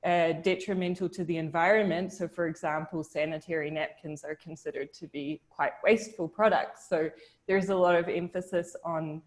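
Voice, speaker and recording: Young woman from New Zealand, video-call chat, thoughtful, halting, lots of restarts. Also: fairly low pitch, steady tone. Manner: thoughtful, hesitant, many restarts and fillers. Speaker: discussion participant. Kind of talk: casual video-call discussion.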